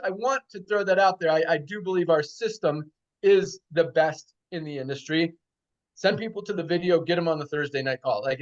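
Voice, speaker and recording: Male call host, high voice, enthusiastic, conversational, muffled call audio. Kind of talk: upbeat call hosting.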